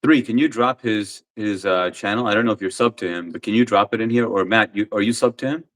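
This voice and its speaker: A man's voice: fairly low pitch, quick pace, a bit bright. A man hosting a casual livestream, greeting viewers and reading the chat aloud in an easygoing way. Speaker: livestream host.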